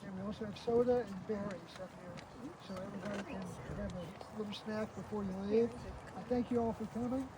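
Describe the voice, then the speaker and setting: A man's voice: sits high, fairly even intonation, a little fast. Man hosting informally through heavy outdoor noise. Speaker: host of a memorial gathering. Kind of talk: informal spoken tribute and hosting. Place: outdoors in an iris garden. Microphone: distant camera microphone, no working PA. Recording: very noisy outdoor recording without microphone.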